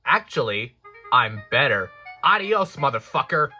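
A man's voice: medium pitch, very animated, measured pace, over music. A man voicing a small, vain, foul-mouthed steam engine in a storybook parody, boastful and combative. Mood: cocky.

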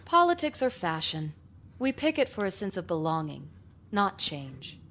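A cynical thirty-something school counselor, low voice, expressive swings, visual novel, noisy game audio. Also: female voice, normal speed. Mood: coolly cynical. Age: 30s. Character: jaded 39-year-old woman school counselor, coolly cynical.

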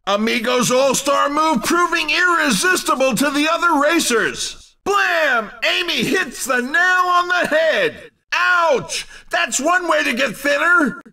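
An actor playing an excited, cheerful video game racing commentator with a punchy, showy, exclamatory delivery. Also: male voice, very high-pitched, recorded from a distance, full character voice. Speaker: race commentator.

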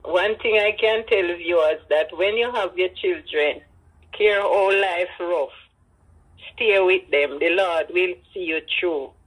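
An elderly woman with a Jamaican accent and husky voice, telling personal stories with emphatic repetition and Creole phrasing. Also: on the low side, slow pace, poor audio. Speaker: interviewee, grandmother. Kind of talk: personal storytelling in an interview.

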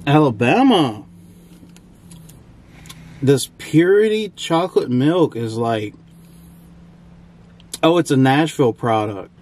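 A man's voice: medium pitch, lively intonation, slow pace, long pauses, a little mellow. A young man, rambling casually as he vlogs his travels. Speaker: vlogger. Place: parked truck cabin and outdoors on trails. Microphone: handheld or propped camera. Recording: clear vehicle and outdoor background noise.

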